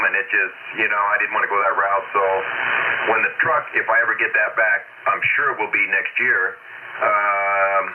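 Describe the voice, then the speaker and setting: Male ham operator with a lowish voice, rambling and matter-of-fact, on a tinny, very noisy radio link. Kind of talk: casual ham radio chat. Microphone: desk microphone into radio transceiver. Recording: narrow shortwave radio transmission, heavy static. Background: loud radio static.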